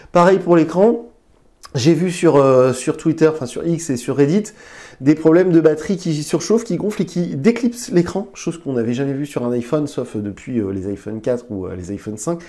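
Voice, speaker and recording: A man's voice: on the high side, mellow. A man with a stuffy, nasal voice from a cold, hosting a casual tech review into a lapel mic, chatty, with fillers and restarts. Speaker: tech reviewer, channel host.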